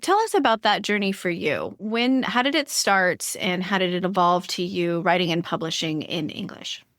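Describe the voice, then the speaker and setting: A woman's voice: on the low side, animated, moderate pace, fairly bright tone, no room sound, studio quality. A woman hosting a writing podcast. She asks long, chatty questions with playful asides and plenty of fillers. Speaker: podcast host. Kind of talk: podcast interview conversation.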